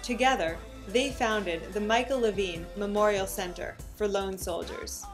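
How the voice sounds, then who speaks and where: A woman reading a scripted documentary narration in an earnest, measured way. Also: medium pitch, music in the background.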